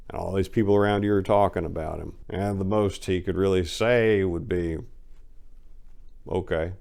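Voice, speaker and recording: Man, lowish pitch, dramatic memoir reader, expressive storytelling, emphatic then hushed. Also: gravelly. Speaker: host of dramatic book readings. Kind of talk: dramatic first-person memoir reading with commentary.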